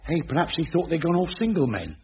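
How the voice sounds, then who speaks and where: An actor playing a chatty, chuckling busybody in a radio sitcom. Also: male voice, fairly high pitch, very animated, fast, husky, heavily acted. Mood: gossipy. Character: gossipy staff member, cheerful busybody. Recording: muffled old radio recording.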